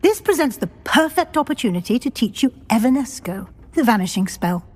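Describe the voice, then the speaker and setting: An actress playing a brisk, encouraging British witch professor in a video game, composed and instructive. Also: a little high, sing-song. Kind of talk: video game dialogue acting.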